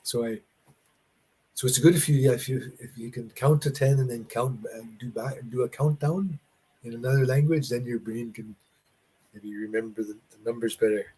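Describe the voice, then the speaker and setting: Man from Canada, husky voice, rambling and animated, video call chat. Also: medium pitch, moderate pace, poor audio. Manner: rambling, restarts and laughs, animated storytelling. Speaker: conversation partner. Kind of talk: casual conversation practice.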